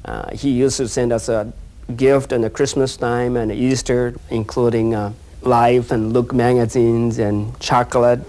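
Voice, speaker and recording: An older man with a Japanese accent, answering interview questions haltingly, pausing to find his words. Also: mid-range voice, flat delivery, dark tone. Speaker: interviewee, business owner.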